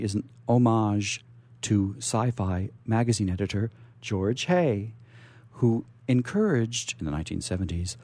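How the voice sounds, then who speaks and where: Animated, husky low-voiced man hosting. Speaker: radio show host. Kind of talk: chatty show introduction. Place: radio studio.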